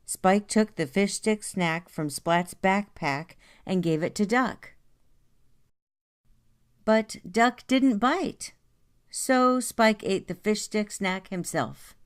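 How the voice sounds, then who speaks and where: A woman reading a children's story aloud in a deliberate, expressive way. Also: medium pitch, clear, resonant tone. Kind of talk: read-aloud story narration.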